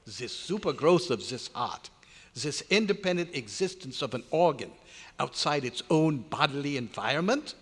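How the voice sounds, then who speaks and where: Man acting an excitable German doctor, swooping voice. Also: fairly high pitch, normal speed, slightly rough. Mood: grave, intent. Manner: dramatic, emphatic, formal diction. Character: excitable German doctor, authoritative male research scientist. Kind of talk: old-time radio horror acting. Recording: live hotel meeting room, long echo.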